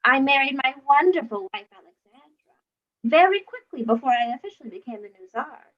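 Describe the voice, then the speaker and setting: Woman playing a pompous, whiny Tsar, high and swooping. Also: lively intonation, fairly quick, a bit bright. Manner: pompous, huffy, throat-clearing. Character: pompous, whiny, self-adoring Tsar, a grown man. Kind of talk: comic school puppet-show skit. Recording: dull, choppy lo-fi video call audio.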